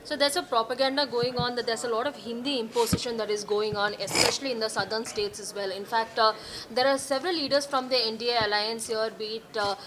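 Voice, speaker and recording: A woman's voice: a little high, fairly even intonation, quick pace, hardly any pauses. Reporter woman in a noisy scrum. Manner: questioning, with hesitant fillers. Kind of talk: press question.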